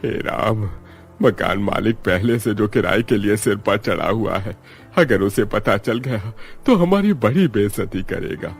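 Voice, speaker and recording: A man's voice: lowish voice, expressive, measured pace, creaky, breathy edge, heavily acted. A man voicing a poor, humble, pleading old father in a cartoon story. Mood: dismayed.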